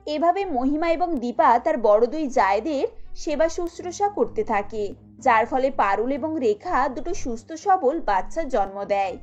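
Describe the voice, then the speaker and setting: High-pitched woman narrating a cartoon story. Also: animated, unhurried, darkish tone. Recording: dull lo-fi audio with music bed.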